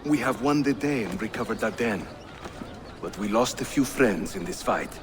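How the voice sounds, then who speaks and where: An animated Turkish fighter, acted by a man, affable and cocky as he leads his assassins. His mid-pitched voice swings freely in video game style, with clear noise behind it. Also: normal speed, lightly acted. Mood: businesslike. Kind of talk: video game dramatic acting.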